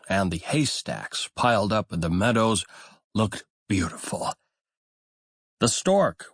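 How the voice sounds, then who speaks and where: Slow, lively low-voiced male storyteller. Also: dry recording, clean studio sound. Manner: measured, expressive storytelling. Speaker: audiobook narrator. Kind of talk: scripted fairy tale narration.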